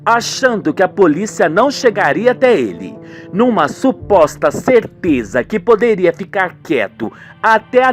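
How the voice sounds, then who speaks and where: A man with a Brazilian accent giving theatrical, dramatic narration of a radio soap. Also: sits high.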